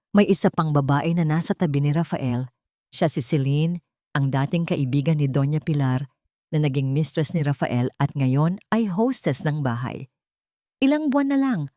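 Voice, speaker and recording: A woman narrates a dramatic first-person story in a solemn, measured, emotive way. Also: deep voice, darkish tone, no echo, clean studio sound.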